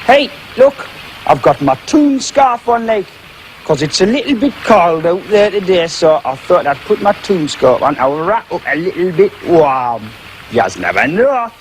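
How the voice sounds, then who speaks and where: Guy doing a rambly geordie footie fan, high husky voice that bounces all over. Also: unhurried, a little hiss or hum, rough recording. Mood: rambling. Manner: rambling, deadpan, lively pitch swings. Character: chatty Geordie football fan man, rambling.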